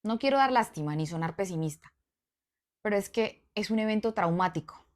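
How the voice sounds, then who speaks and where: A woman with a mid-range voice reads a follower's story aloud. Her reading is expressive, and she breaks off now and then for casual asides to her followers. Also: mid-range voice, a little fast.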